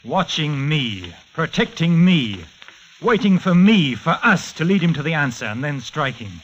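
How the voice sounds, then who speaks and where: A man's voice: a little high, big pitch swings, unhurried. Intense schemer guy, 1940s radio drama, muffled and a bit hissy. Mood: intense, explaining. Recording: muffled, faintly hissy old radio recording.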